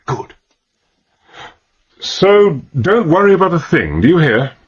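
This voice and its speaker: An actor playing a gentleman adventurer who recounts a dangerous undercover exploit in a grave, confiding manner, as dramatized first-person narration. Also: fairly high pitch, big pitch swings, slow pace, breathy edge, highs cut off, full character voice. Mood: urgent.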